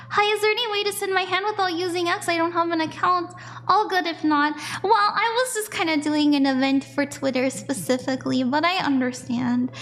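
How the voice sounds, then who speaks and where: Young woman, high voice, bubbly, chatty, laughs often, palm reading streamer. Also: fairly bright tone, a little hiss or hum. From southern United States.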